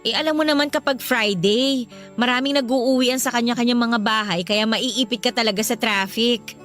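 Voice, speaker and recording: An actress playing a pushy, amorous young Filipino wife who takes offense quickly in a radio drama. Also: female voice, on the high side, a bit bright, soft background music, lightly acted. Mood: sweet, teasing.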